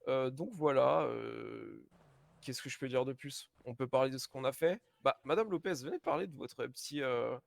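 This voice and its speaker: Gravelly man, halting and frank. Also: mid-range voice. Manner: hesitant, many fillers and restarts; frank, self-assured. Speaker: mayoral candidate in a roleplay. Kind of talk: casual roleplay press conference.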